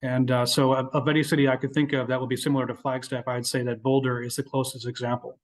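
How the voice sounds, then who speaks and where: A man answering questions as a guest presenter at a webinar, explaining in a halting way with repeated words. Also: medium pitch, flat delivery.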